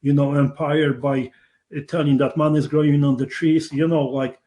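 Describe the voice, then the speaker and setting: A man's voice: on the high side, little pitch movement, moderate pace, dark tone. A man with a Polish accent, born in Poland, hosting an online webinar and persuading his audience, often checking in with them. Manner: persuasive, keeps asking the audience "right?". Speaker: webinar host.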